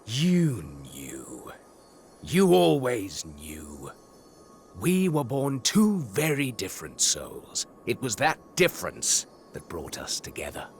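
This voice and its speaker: An actor playing a brooding fallen knight in a video game, bitter yet longing for his old friend, theatrical and measured, dropping into murmurs. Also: mid-range voice, huge pitch swings, full character voice. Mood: bitter, remorseful. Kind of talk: dramatic video game voice acting. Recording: music behind the voice.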